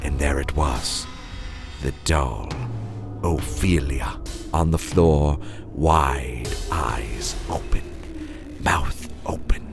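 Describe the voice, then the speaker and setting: Slow, dramatic man narrating horror over music. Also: a bass voice, creaky. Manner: suspenseful, playful, dramatic pauses. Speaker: horror story narrator and host. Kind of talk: theatrical scripted horror narration with asides to listeners.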